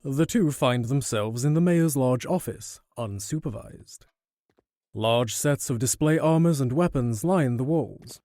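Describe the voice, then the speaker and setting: A man's voice: mid-range voice, measured pace, warm tone, no echo, clean studio sound. A man delivering scripted narration for a fantasy audio drama.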